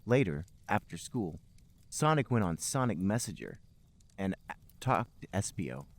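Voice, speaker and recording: A man with a low voice and very lively pitch reads a story aloud as a podcast host. His delivery is mock-serious and comic, and the sound is dry and close. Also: measured pace, no echo. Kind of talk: comic dramatic reading of fan fiction.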